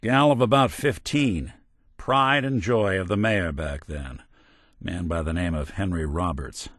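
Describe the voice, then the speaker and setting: Low-voiced, world-weary android noir detective. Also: male voice, unhurried, fairly bright tone, dry recording, studio quality. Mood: wry, reminiscing. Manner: wry, folksy hardboiled drawl with old-fashioned slang. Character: world-weary, principled male android detective, old-time noir type. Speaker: video game voice actor. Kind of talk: video game companion dialogue, noir detective.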